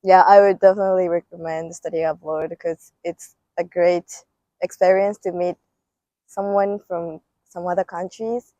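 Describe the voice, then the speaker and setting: A young woman from Japan speaks English with a Japanese accent in an earnest, plain way, answering interview questions. Her voice sits low and mellow. The sound is dry and close. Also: fairly even intonation, moderate pace, dry recording, muffled recording.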